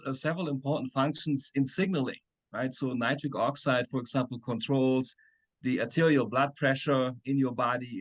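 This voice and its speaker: A middle-aged man, a chemistry professor answering interview questions on a video call, explaining patiently with many "uh"s and tag questions. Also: medium pitch. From Germany. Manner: explanatory, frequent "right?" and "uh".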